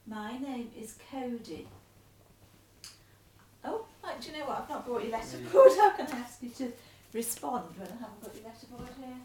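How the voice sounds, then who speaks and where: Lady reading a letter aloud at home, gentle and coaxing, echoey and a bit far off. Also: fairly high pitch, expressive, normal speed, a crowd in the background. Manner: patient, encouraging, coaxing. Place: room at home. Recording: echoey room, voice off-mic.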